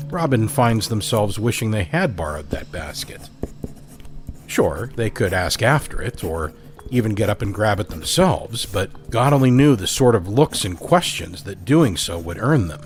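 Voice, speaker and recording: A man hosting and narrating an audio drama, reading a scripted story in a measured, deliberate manner. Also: fairly low pitch. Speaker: host and narrator.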